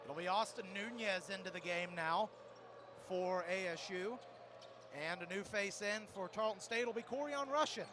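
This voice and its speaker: A man's voice: sits high, normal speed. A man calling a game live as a radio play-by-play announcer. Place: indoor sports arena. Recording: noisy live sports arena broadcast.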